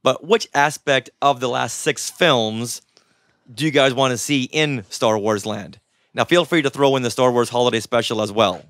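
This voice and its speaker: A man's voice: mid-range voice, moderate pace, fairly bright tone, no echo, studio quality. A man co-hosting a casual panel chat with a jokey, enthusiastic manner. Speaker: podcast co-host.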